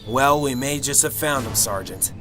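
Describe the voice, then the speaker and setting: A man's voice: mid-range voice, moderate pace, music in the background, close to an everyday voice. A man playing a cynical, sarcastic detective in an amateur radio drama, with a blunt, grumbling delivery. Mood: tense, blunt. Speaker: actor.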